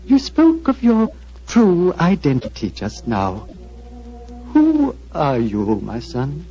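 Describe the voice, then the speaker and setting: Vintage radio drama: serene elderly monk, very unhurried, kindly, swooping intonation, muffled old recording. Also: male voice, on the high side, wildly swooping pitch, mellow, faint music behind, exaggerated voice. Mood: gently solemn. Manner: solemn, kindly, measured. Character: gentle old Tibetan holy man, serene and fatherly. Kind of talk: 1940s radio acting.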